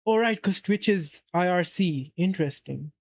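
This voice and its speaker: A man's voice: high voice, normal speed, creaky, darkish tone, dry recording, clean studio sound. A man from Saudi Arabia casually chatting on a live stream, rambling and answering chat as he plays.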